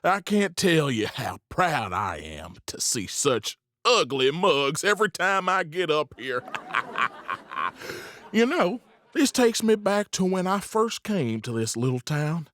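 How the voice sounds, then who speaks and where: A man playing a folksy, self-satisfied Old West saloon owner making a showy, drawn-out welcome speech. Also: on the high side, sing-song, a bit bright, no room sound, full character voice. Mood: proud, jovial. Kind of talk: theatrical stage welcome speech.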